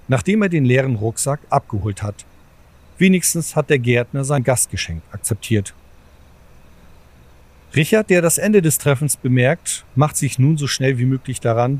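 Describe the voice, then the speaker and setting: Guy narrating an audio play, mid pitched, measured storyteller delivery. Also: darkish tone. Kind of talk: scripted audio drama narration.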